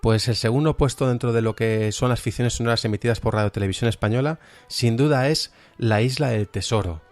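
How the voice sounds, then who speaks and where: A man's voice: mid-range voice, a little fast, faint music behind. A man with an accent from Spain, presenting an informal podcast review in a chatty, hesitant, self-deprecating way. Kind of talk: informal review of audio dramas.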